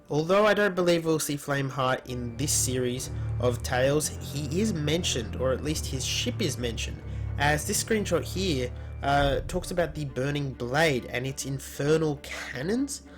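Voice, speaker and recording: A man hosting a gaming news video, narrating in an informal scripted style. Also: mid-range voice.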